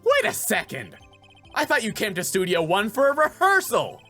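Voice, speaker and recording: A man voicing an earnest, excitable young male defense lawyer in a video game, emphatic and challenging, with music behind. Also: high-pitched, moderate pace, pitched above the performer's own voice, full character voice.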